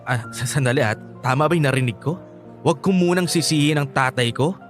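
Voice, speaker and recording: A man's voice: medium pitch, brisk, soft background music, close to an everyday voice. A man playing a hurt, angry young son and boyfriend in a Filipino radio drama, stammering when upset. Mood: angry, confronting.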